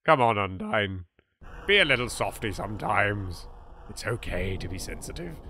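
A man with an English accent commentating casually on a game he plays, reacting loudly and joking. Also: fairly low pitch, moderate pace, a little hiss or hum. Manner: animated, exclaiming, swearing in jest. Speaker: let's play host.

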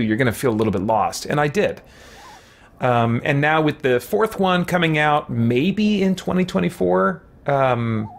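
A man in his forties giving casual gameplay commentary, musing and often interrupting himself. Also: medium pitch. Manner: rambling, musing, self-interrupting. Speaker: game streamer. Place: small indoor room with shelves.

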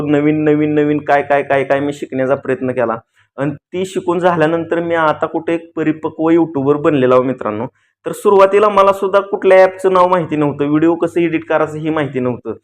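A man with a rural Maharashtrian Marathi accent talks casually to his viewers as a YouTuber, giving earnest, colloquial advice and repeating phrases for emphasis. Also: on the high side. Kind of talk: casual vlog talk addressed to viewers.

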